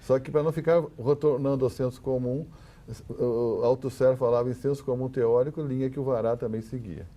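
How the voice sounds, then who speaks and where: A man with a Brazilian accent speaking as an academic guest on a discussion panel, explaining haltingly and often repeating words. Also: mid-range voice, steady tone, dark tone.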